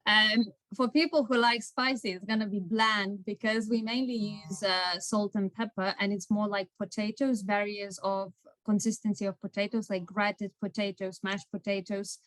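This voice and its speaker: A young woman with a Lithuanian accent answers interview questions in a careful, hesitant way. Her voice sits at an ordinary pitch and sounds close and dry, with no room around it. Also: fairly even intonation, normal speed, a bit bright, no echo, poor audio. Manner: thoughtful, hesitant. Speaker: guest.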